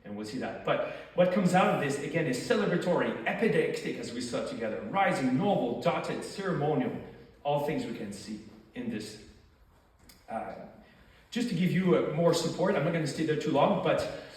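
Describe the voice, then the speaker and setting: A man's voice: a little high, sing-song, normal speed. A man with a thick French accent giving an academic lecture, explaining points and posing rhetorical questions to his audience. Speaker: doctoral lecturer. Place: lecture hall. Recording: echoey hall, far from mic.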